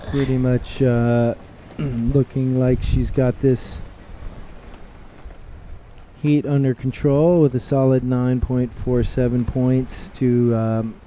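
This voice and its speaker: Man, live sports commentator, casual banter, very dark mellow tone, faint background noise. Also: mid-range voice, slow. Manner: relaxed, chatty, joking with co-host.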